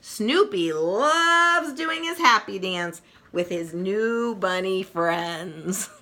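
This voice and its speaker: A woman reading a children's story aloud in an expressive, playful way. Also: mid-range voice, extremely slow. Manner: expressive, playful, chuckling asides. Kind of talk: animated read-aloud storytelling.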